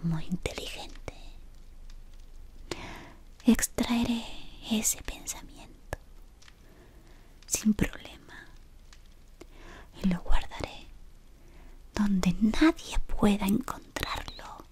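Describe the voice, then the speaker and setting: A woman in her thirties whispering an ASMR roleplay as a devoted servant of a dark lord, softly and deferentially, close to the mic. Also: medium pitch, extremely slow, long pauses. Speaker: ASMR creator. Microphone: close to camera.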